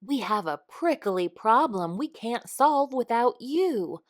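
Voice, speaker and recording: A woman's voice: on the high side, very animated, slow pace, no echo, studio quality, pitched above the performer's own voice. A woman voicing a worried male rattlesnake who groans and pleads for help in a storybook read-aloud. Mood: pleading.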